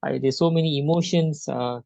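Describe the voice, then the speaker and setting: A man from Malaysia hesitantly sharing his experience in a coaching session, often restarting and filling pauses. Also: a little high, steady tone, vocal fry, darkish tone, no echo. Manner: hesitant, many restarts and fillers. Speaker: session participant. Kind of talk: halting personal sharing. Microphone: video call. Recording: dull lo-fi call audio.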